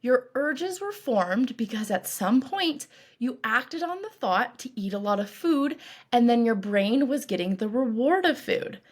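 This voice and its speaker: A woman coach leading a guided meditation in a calm, reassuring, instructive way, with deliberate phrasing. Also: on the high side, breathy edge. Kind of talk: guided meditation, scripted narration.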